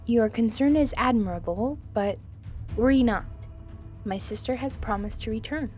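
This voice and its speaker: A woman's voice: on the high side, normal speed, darkish tone, soft background music, lightly acted. Formal, wistful young changeling princess, voiced by a woman. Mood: firm, insistent. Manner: formal, stately phrasing. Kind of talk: audio drama acting.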